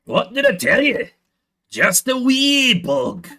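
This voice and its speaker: A man's voice: very high voice, very animated, slow, sharp tone, voice pushed up, full character voice. A man voicing a brash, cheerful rodent bagpiper from a video game, with a Scottish accent and a folksy manner. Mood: breezy, dismissive.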